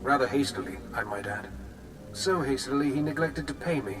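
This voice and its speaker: An actor playing a suave, haughty nobleman of an assassin house in a video game, with a pompous, measured, coolly menacing manner. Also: mid-range voice, animated, background noise, natural voice. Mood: smug disdain. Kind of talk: scripted video game acting.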